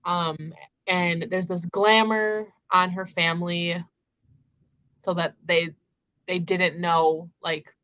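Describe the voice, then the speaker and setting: A woman's voice: on the low side, level tone, slow, a bit bright, smooth, no echo, muffled recording. A woman hosting a casual book discussion, retelling in an emphatic, conversational way peppered with filler words.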